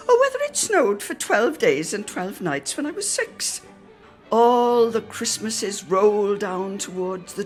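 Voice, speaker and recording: A woman giving a theatrical, lyrical reading of a literary story, savouring each phrase. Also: mid-range voice. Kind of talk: theatrical scripted story narration.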